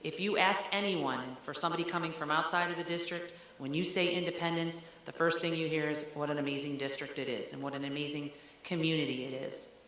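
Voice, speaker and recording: A low-voiced woman speaks frankly to a community gathering as a school district leader, loose and conversational with small fillers. Her voice booms around a very echoey hall. A faint hiss sits under it. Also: steady tone, fairly quick, fairly bright tone. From Ohio, United States. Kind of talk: public meeting address. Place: large meeting room.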